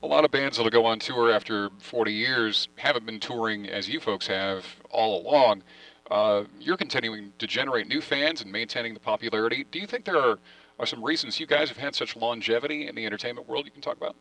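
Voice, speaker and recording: Bright-toned male interviewer, off-mic. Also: mid-range voice, fairly quick, no echo, distant mic, rough recording. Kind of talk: radio interview questions.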